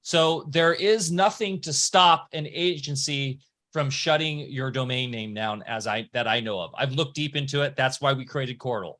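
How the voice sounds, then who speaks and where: A man's voice: medium pitch, moderate pace, a bit bright. A man hosting a podcast, talking casually and rambling, often restarting and correcting himself mid-sentence. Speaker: podcast host. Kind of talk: casual conversation.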